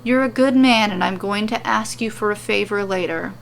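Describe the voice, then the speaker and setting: A woman plays a grave fantasy warrior, a man of calm resolve. The voice is measured and serious, with a creaky edge and some noise behind it. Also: medium pitch, natural voice.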